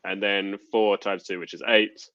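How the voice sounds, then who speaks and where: A man's voice: lowish voice, flat delivery, unhurried, pushed and bright, no echo. A man hosting a board game play-along with casual commentary and chat, in slightly lo-fi audio.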